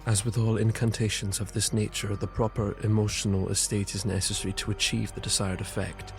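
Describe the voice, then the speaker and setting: A suspicious, brooding young knight in a fantasy audio drama. His voice sits fairly low, and music plays clearly beneath it. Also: level tone, fairly quick. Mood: brooding, intent. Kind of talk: audio drama acting with brooding read-aloud monologue. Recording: music under the voice.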